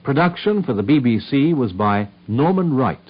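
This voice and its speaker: English guy announcing a radio play, formal and measured, mid pitch, dull vintage sound. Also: big pitch swings, slightly rough. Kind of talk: formal scripted BBC announcement. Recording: muffled old radio recording.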